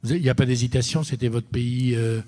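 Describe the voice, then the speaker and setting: A man's voice: medium pitch, steady tone, fast talker, mellow. A man interviewing an author onstage, often finishing his guest's sentences. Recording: long reverb of a hall.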